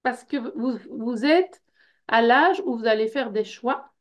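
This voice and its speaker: A woman's voice: a little high, slow, dull audio. A middle-aged woman from Belgium with a Belgian French accent, presenting an information evening for a personal development workshop in a conversational way, full of fillers and the tag word "hein". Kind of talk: informal info session presentation.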